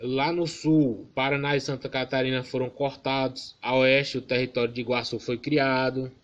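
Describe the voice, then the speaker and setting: A man's voice: mid-range voice, level tone, normal speed, lo-fi sound. A man from Maranhão, giving an informal online geography class and correcting himself as he goes. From Maranhão, Brazil. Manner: informal, addresses class as "galera", self-correcting. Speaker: student teacher.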